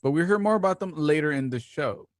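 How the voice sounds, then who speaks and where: A man's voice: fairly high pitch, lively intonation, quick pace, dry recording, clean studio sound. A man hosting a casual live show, talkative and playful, reading promos and dropping in rambling asides. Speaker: host.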